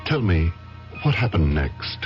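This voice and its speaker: An actor playing a calm, probing doctor who gently questions a patient in an old-time radio drama. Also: male voice, low voice, measured pace, full character voice. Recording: dull vintage radio recording, music behind.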